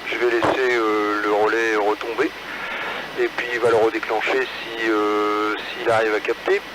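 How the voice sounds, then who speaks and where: A man's voice: lowish voice, little pitch movement, measured pace, noisy background. A man chatting casually over amateur radio from a mobile set. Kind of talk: casual two-way radio chat. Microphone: mobile radio transceiver microphone.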